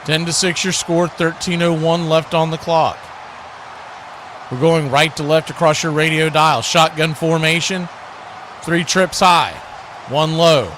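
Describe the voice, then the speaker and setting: An American man calling live football play-by-play in an excitable, colloquial radio style, repeating phrases as the action builds. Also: on the high side, a little slow. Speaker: play-by-play sports announcer. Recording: faint background noise.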